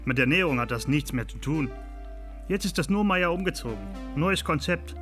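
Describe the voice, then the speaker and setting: A man's voice: a little high, lively intonation, fairly quick, a bit bright, soft background music. A man, a chef, gives informal first-person commentary in blunt, terse sentences.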